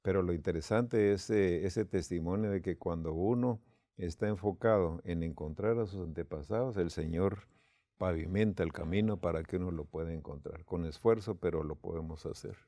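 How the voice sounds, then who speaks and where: A man's voice: deep voice, normal speed, warm tone, no echo, studio quality. A man from Guatemala, telling a personal story unhurriedly with quoted dialogue, as a church leader.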